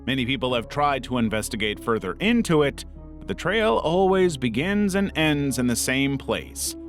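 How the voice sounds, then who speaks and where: A man reading scripted narration in the role of an online video narrator. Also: medium pitch. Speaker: narrator of internet video countdown.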